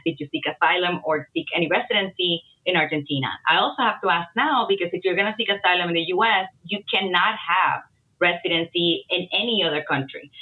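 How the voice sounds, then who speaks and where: A woman immigration attorney, a podcast guest, explaining immigration systems in a measured, opinionated way. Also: fairly low pitch. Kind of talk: casual podcast interview. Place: Miami. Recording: tinny phone-like line.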